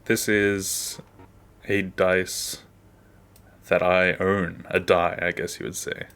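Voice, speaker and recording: A man's voice: sits low, flat delivery, slow, fairly bright tone, smooth. A man giving a casual behind-the-scenes walkthrough as an animator, offhand and self-deprecating, often trailing off. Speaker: animator, video creator.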